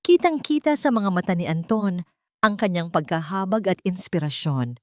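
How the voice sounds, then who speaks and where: Woman storyteller, kinda low voice, dry close recording, dramatic scripted narration. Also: lively intonation, normal speed, a little mellow, no echo.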